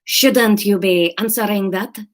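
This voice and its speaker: A woman voicing a cheeky, incredulous eighteen-year-old male student in a storybook, with a theatrical, drawn-out mock Spanish accent. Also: medium pitch, close to an everyday voice. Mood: casual.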